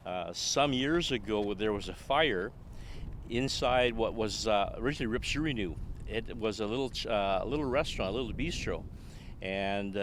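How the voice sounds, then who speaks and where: Guest: older canadian man, lowish voice, joking storyteller, faint traffic behind. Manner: chuckling, self-deprecating. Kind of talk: casual storytelling.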